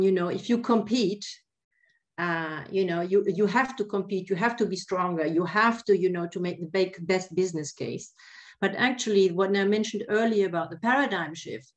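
A woman panelist speaking in an online discussion over a video call, hesitant with many fillers and restarts. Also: mid-range voice.

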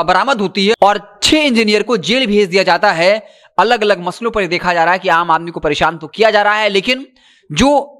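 A man. His voice sits high for a man and has a bright, forceful edge. He speaks emphatically and insistently, straight to the audience on camera. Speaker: news presenter. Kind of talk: news commentary, reporting to camera. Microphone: handheld microphone.

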